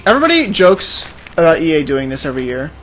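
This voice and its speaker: A man's voice: fairly high pitch, wildly swooping pitch, fast, a bit bright, rough recording. A man co-hosting a podcast, chatting informally in short remarks while playing a video game. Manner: informal, brief conversational interjections. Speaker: podcast co-host. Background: faint music and game audio.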